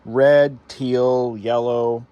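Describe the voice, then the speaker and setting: A man's voice: mid-range voice, flat delivery, drawn-out words, mellow, clean tone. A man hosting a hobby repair channel, talking casually to viewers in a rambling, wry way with sarcastic asides.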